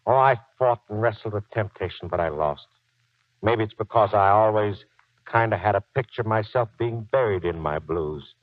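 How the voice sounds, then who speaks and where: An actor playing a gruff, boastful but soft-hearted old Marine sergeant and husband in a 1940s radio drama, with slangy, folksy, ungrammatical talk. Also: male voice, fairly low pitch, normal speed, no room sound, natural voice. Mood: sheepish. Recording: dull, lo-fi 1940s radio recording.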